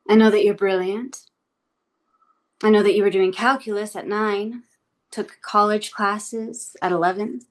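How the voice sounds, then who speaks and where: A woman's voice: mid-range voice, fairly even intonation, close to an everyday voice. A woman playing an eerily composed, menacing interrogator. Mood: controlled menace. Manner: deliberate, formal, probing. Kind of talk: dramatic script table read. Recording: music playing behind the voice.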